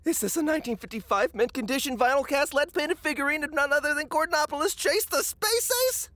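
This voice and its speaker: A snarky, dismissive gamer mocks the people around him. His pitch swings widely and often. The sound is close and dry. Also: sing-song, normal speed, a bit bright, no echo. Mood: excited.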